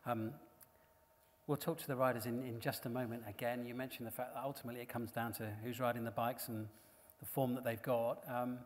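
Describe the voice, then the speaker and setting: A man's voice: mid-range voice, level tone, brisk. A man hosting a live panel interview on stage, asking chatty questions with fillers. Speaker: stage host. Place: large hall on stage before an audience. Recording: long hall reverb.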